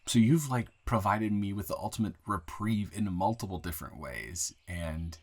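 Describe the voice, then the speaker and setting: A man playing an earnest, grateful young man shyly confessing love to a friend in an intimate, halting, improvised audio roleplay. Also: lowish voice, natural voice. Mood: tender, grateful. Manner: halting, rambling, earnest, breaking into soft laughs.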